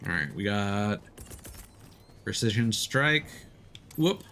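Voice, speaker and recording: A man streams a video game with casual, playful commentary. His pitch moves around in a lively way, and he drops in swearing and silly sound words. Faint game noise sits behind his voice. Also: slow pace, gravelly. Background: video game sound effects.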